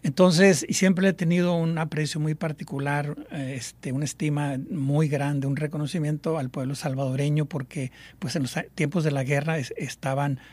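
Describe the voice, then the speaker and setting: A man's voice: fairly high pitch, steady tone, moderate pace, few pauses, dry recording. A man from Mexico hosting a radio interview, rambling with lots of fillers. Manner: warm rambling with many fillers. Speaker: radio host.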